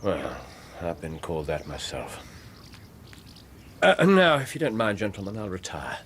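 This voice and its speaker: An actor playing a brilliant, aloof English detective in a radio drama, precise and dry, with a wry theatrical manner. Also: male voice, sits low, huge pitch swings, unhurried, a bit bright, slight background noise, exaggerated voice. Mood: dryly courteous.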